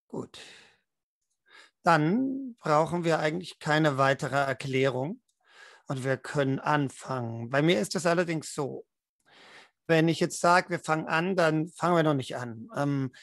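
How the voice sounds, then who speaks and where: A man's voice: a little high, animated, measured pace, long pauses, fairly bright tone, no echo, clean studio sound. A man leading a tabletop role-playing game as game master, explaining and narrating. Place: indoor room at home, online video call.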